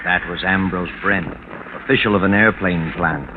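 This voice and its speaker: Male actor for ominous night-walking storyteller, very deep voice, 1940s radio thriller, narrow old broadcast sound. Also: normal speed, noisy background, lightly acted. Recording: muffled old 1940s radio, loud music.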